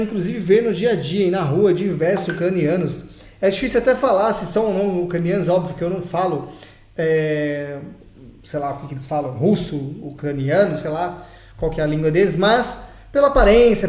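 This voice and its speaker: High-voiced Brazilian man ranting to viewers. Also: brisk, a little mellow. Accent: Brazilian Portuguese. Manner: chatty, emphatic, addresses viewers directly. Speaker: vlogger. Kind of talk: casual opinion vlog. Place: home in Portugal. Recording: roomy echo.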